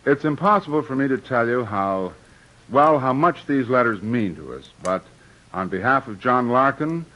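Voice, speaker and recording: Bright-voiced old-time radio host. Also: male voice, medium pitch, expressive, normal speed. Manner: courteous, gracious. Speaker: director and host. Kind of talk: formal radio introduction. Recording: dull old radio broadcast.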